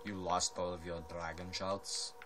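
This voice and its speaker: A young man with a deep voice, teasing and rambling as he watches a friend play. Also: flat delivery, a little slow. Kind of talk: casual banter. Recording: home video with noticeable background noise.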